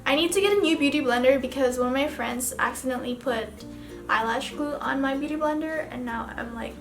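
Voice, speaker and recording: A woman's voice: high-pitched, fairly quick, echoey room, soft background music. A young woman from the Philippines with a Filipino accent, chatting casually through a makeup vlog, full of filler words. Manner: rambling, casual, lots of "like" fillers. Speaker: beauty vlogger.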